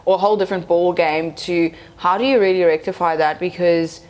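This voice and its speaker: A woman's voice: fairly low pitch, fairly quick, a little mellow. A young woman, chatting casually as an event planner on a podcast and telling stories. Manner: chatty, storytelling, slips into Afrikaans phrases.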